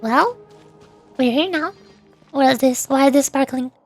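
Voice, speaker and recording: High-voiced woman livestreaming a game. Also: a little slow. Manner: chatty, talks to herself. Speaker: streamer. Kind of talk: livestream gameplay commentary and reading game text aloud. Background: game music.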